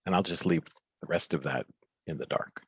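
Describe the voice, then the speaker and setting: Very deep-voiced, husky man in conversation. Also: normal speed, dry recording. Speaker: guest author. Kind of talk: reflective interview conversation over video call. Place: remote video call.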